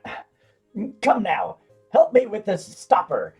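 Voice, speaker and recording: A man acts out a fussy tavern drunk in a comic fantasy audio play. His husky voice rises and falls dramatically as he delivers archaic lines with mock solemnity. Music runs behind him. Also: high-pitched, huge pitch swings, slow pace, full character voice. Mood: fussy, determined.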